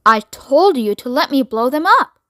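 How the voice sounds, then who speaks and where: A gruff, trigger-happy old male army chief who grumbles his complaints, as a woman storyteller puts him on. His voice sits fairly high, and it is recorded close and dry. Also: big pitch swings, normal speed, a bit bright, dry recording. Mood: grumbling.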